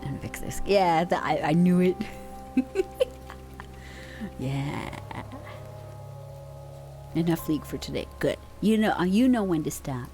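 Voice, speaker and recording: A woman's voice: lowish voice, expressive, slow pace, pauses often, mellow, faint music behind. A young woman streamer chatting casually with her viewers in a slangy, laid-back way, using pet names, on a close microphone.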